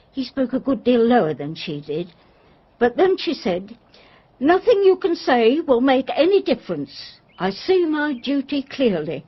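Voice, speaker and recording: An actress playing a loyal, deferential, gossipy English housekeeper with an English accent, flustered and animated, in a radio drama. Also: female voice, fairly high pitch, husky, dull audio, full character voice. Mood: indignant, earnest.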